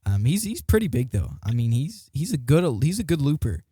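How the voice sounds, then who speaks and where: A man with a mid-pitched voice talks casually on a podcast panel. The sound is dry, with very little room. He gives his opinions in slangy, halting phrases. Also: sing-song, dark tone, breathy edge, dry recording. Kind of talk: casual chat, opinionated commentary on a competition.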